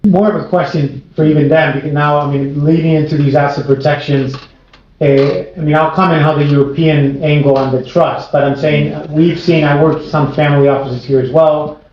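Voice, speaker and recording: A man's voice: a little high, steady tone, brisk. A man with a partly American accent speaking informally as a tax professional on a panel. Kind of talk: informal panel introduction and questions. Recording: echoey room, dull sound, background noise.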